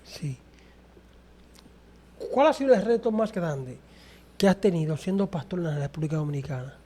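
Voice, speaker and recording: A man's voice: a little high, expressive, normal speed, warm tone, husky. A man hosting an interview, asking short direct questions about faith.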